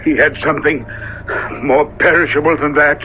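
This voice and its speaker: A muffled, faintly hissy old radio recording of a man playing a middle-aged minister and troubled father. His voice is fairly high, and he speaks with pious, earnest drama. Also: fairly even intonation, moderate pace, lightly acted. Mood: grave. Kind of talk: 1970s radio drama acting.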